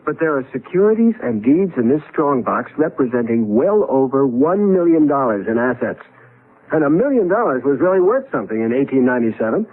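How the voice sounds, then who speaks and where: An actor playing a bewildered, wry husband in a 1970s radio drama, earnest and emphatic, sometimes halting. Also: male voice, medium pitch, big pitch swings. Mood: reasoning, exasperated. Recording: tinny, band-limited vintage radio recording.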